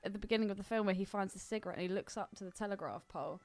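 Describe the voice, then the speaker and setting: A woman's voice: medium pitch, very fast. A woman co-hosting a podcast, chatting casually in a blunt, down-to-earth, unimpressed way. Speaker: podcast co-host. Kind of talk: casual chat.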